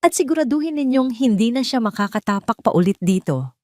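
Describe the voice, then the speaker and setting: A woman's voice: fairly high pitch, lively intonation, a little fast, a little mellow, dry recording, studio quality, pitched above the performer's own voice, lightly acted. A woman voicing a cruel, furious rich stepmother in an audio story, imperious and accusing. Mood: angry, commanding.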